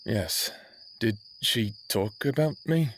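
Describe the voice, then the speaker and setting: A man's voice: mid-range voice, lively intonation, slow. A man playing a defiant, bitter young human captive in a vampire audio drama, with sardonic, brooding, profane delivery, both narrating and speaking. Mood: guarded, wry. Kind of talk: first-person audio drama narration and dialogue.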